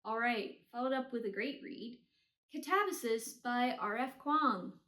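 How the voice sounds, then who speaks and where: High-voiced woman chatting about books. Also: measured pace, pushed and bright. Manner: blunt, hyperbolic opinions. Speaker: book reviewer. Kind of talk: casual chatty ranking of books read. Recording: roomy, echoey sound.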